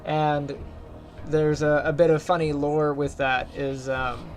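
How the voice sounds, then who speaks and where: A man with a light, higher-pitched voice, casually rambling to his chat as he plays a game and streams. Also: level tone, takes it slowly, darkish tone. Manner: rambling, chatty, talks to chat while playing. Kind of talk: casual gameplay commentary.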